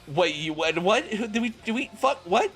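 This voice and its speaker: Irate male professor, played by a man, high, sputtering, flustered, comic storybook reading. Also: expressive, moderate pace, fairly bright tone, faint music behind. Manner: sputtering, exclamatory.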